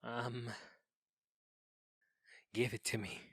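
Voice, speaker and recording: A woman's voice: rumbling low, flat delivery, drawn-out words, husky, no room sound, clean studio sound, exaggerated voice. A woman playing a flustered, awkward young podcaster in a modern audio drama, nervous and stammering, easily smitten. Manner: stammering, nervous, breaking off mid-phrase.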